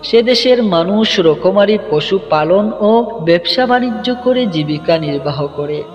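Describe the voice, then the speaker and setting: A man's voice: high-pitched, expressive, unhurried. A man narrating a scripted religious story in a formal, ornate, declamatory literary manner. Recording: dull lo-fi, long reverb, music underneath. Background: music.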